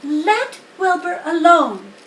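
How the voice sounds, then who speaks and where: A woman's voice: very high voice, very animated, takes it slowly, fairly bright tone, voice pushed up, full character voice. A woman voicing a wise, plain-spoken female spider from a children's storybook, matter-of-fact and instructive. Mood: sharp, protective. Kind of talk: children's storybook character reading. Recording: roomy, distant mic, faint noise.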